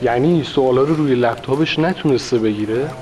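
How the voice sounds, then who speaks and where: A man's voice: medium pitch, a little mellow, distant mic, pitched above the performer's own voice, lightly acted. A man voicing an anxious father who talks in a worried, pleading way.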